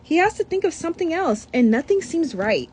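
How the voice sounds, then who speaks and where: A woman's voice: extremely high pitch, a little fast. A young woman reading a children's picture book aloud, breaking off for chatty asides. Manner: reads with lively asides and commentary. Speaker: storybook reader.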